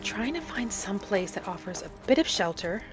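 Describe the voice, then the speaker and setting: A woman voicing a gentle, adventurous female wood elf traveller in a video game roleplay, musing softly aloud in character over music. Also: medium pitch, lively intonation, normal speed, natural voice. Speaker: video game streamer role-playing.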